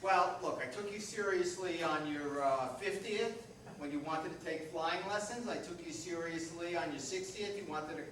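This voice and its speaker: A man's voice: fairly high pitch, normal speed, fairly bright tone, close to an everyday voice. A man playing a wry, long-suffering husband in a live reading of a comic play, with a dry, teasing way of talking. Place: live reader's theater performance in a reverberant room. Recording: distant, very echoey, noisy live hall recording.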